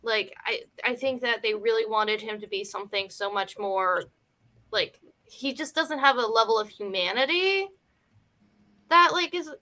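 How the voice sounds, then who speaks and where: Female film-club panelist, fairly high and very bright voice, casual conversational read. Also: normal speed. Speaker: panelist on a film review show.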